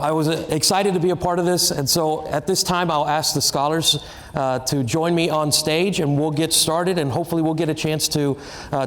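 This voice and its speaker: A man moderating a live panel on stage, talking in a relaxed, halting way with fillers and restarts. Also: fairly high pitch. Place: event stage in a large hall. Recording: reverberant hall with faint noise.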